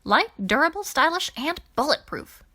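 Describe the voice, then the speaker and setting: A woman voicing a jaunty, boastful older alien gentleman in an audiobook, telling nostalgic stories with theatrical flourish. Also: sits high, sing-song, moderate pace, voice pushed up, heavily acted. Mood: fond, boastful.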